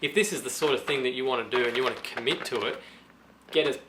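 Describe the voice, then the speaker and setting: A slightly hoarse man with a sore throat, casually thinking aloud through a do-it-yourself how-to demonstration with many restarts. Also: mid-range voice, fast, background noise. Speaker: DIY guitar pedal vlogger.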